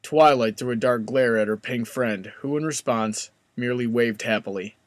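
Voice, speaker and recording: A man's voice: medium pitch, normal speed, dry recording. A man narrating a fan fiction story in a scripted storybook style.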